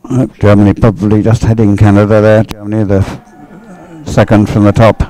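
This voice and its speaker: A man's voice: lowish voice, mellow, no room sound, poor audio. A man giving live race commentary, musing aloud as he follows the action.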